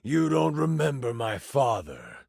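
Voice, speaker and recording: A man voicing a vengeful, theatrical tiger-man villain in a video game, raspy and grandiose. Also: mid-range voice, wildly swooping pitch, a little slow, dry recording, studio quality, voice pushed down, heavily acted. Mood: brooding, menacing. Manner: grandiose dramatic monologue, swinging from menacing hush to outraged outbursts. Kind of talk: video game character acting.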